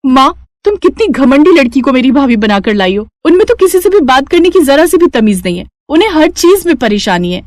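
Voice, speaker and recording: A woman voicing an indignant, complaining young sister-in-law in an animated family story. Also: high-pitched, fairly quick, hardly any pauses, no echo, clean studio sound, voice pushed up.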